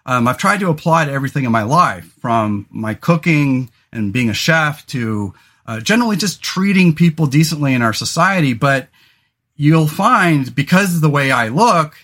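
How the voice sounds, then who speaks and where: A man in his forties talks through a casual podcast chat, his voice sitting a little high for a man. He rambles, doubles back on himself and fills gaps with "um" and "you know," dropping the odd curse along the way. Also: expressive, normal speed, fairly bright tone. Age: 40s. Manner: rambling, many fillers and restarts, swears casually. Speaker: podcast host.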